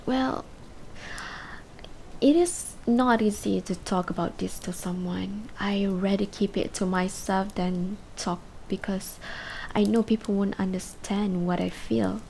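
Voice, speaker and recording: A woman playing a withdrawn, depressed patient in her twenties in an amateur radio drama, speaking haltingly. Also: mid-range voice, fairly even intonation, creaky, dark tone, slight background noise, rough recording. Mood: hesitant, downcast. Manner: hesitant, halting, breaking into whispers. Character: withdrawn, depressed twenty-three-year-old patient confessing painfully. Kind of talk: amateur radio drama acting, confessional monologue.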